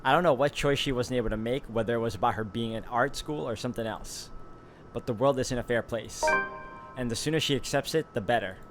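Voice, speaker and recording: A man playing a visual novel, reading its narration aloud in a steady, even way with relaxed asides. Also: medium pitch, a little fast, over music. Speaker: gaming YouTuber. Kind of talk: reading game text aloud with commentary.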